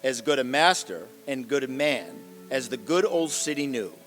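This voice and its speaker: A man's voice: medium pitch, expressive, moderate pace, fairly bright tone, faint music behind, lightly acted. A man narrating a story in a theatrical style for an old-time radio reading. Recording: reverberant hall stage recording.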